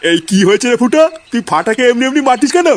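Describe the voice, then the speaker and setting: A man voicing a scolding village grandfather in a comic cartoon, excitable and full of questions. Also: very high-pitched, racing. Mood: scolding, puzzled.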